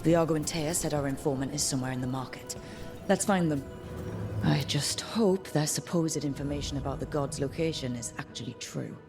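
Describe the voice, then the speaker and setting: A resolute video game heroine with a low voice. She talks directly, with a wry edge, over clearly audible music. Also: brisk. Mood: determined. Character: resolute, wry young heroine fighting alongside rebels. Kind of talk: video game dialogue acting.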